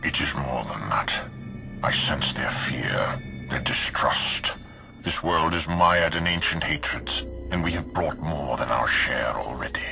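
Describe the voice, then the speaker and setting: An actor playing a grim, imperious dark lord in a video game, speaking in a solemn, measured and commanding manner. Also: male voice, a bass voice, creaky, over music, heavily acted. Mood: ominous.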